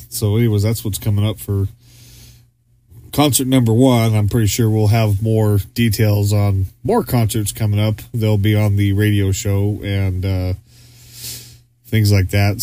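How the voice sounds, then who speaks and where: A man's voice: on the low side, little pitch movement, unhurried, dark tone, no room sound. A man co-hosting a podcast in casual chat, rambling with lots of fillers and restarts. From Arizona, US. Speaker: podcast co-host.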